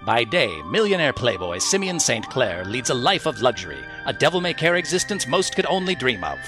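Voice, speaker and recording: An actor playing the melodramatic announcer of a pulp mystery serial, portentous and theatrical in old-time radio style. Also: male voice, mid-range voice, big pitch swings, brisk, over music, lightly acted.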